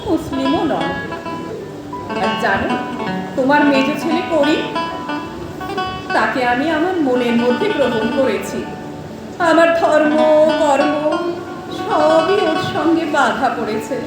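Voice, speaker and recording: A woman acting a devout, wronged young orphan woman who turns bold protector, with earnest, emotive, drawn-out delivery in a stage audio drama. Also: high-pitched, lively intonation. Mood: tender, devoted. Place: large hall, live stage performance. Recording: echoey hall from far off, music behind.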